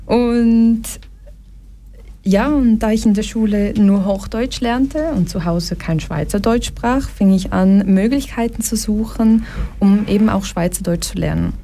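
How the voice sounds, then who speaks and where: Young woman talking slow, earnest personal story, warm low-key tone. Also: slight background noise. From Brazil. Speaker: speaker giving a personal talk. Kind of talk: personal talk to an audience, reading from a diary.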